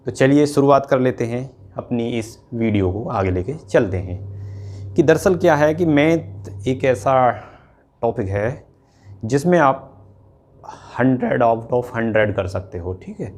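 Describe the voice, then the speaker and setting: A man with a medium-pitched voice speaks as an exam coach. He explains things informally and directly to students, often pausing on short check-in words as he goes. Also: lively intonation, a little mellow. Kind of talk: informal instructional talk to students.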